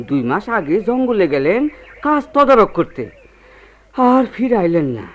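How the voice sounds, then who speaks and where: A man's voice: high-pitched, very animated, normal speed. A man playing a rustic working man in a radio drama, telling his tale plaintively and deferentially in rural Bangladeshi dialect. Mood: sorrowful. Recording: dull lo-fi radio recording with noise.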